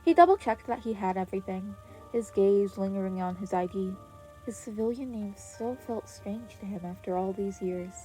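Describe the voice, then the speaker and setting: A woman narrates a fan story in a mellow, soft-edged voice at a middle pitch. Her reading is expressive and scripted, with faint music playing behind her. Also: normal speed, rough recording. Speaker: podfic narrator. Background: background music.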